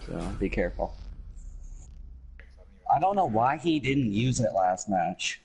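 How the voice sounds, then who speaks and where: Deep-voiced man explaining game tactics. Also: very animated, a little slow, darkish tone, slightly rough, poor audio. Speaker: gamer streaming with teammates. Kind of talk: casual game chat and callouts.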